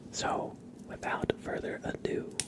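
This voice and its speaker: A man hosting a live ASMR stream, talking casually and haltingly to his viewers. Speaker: livestream host.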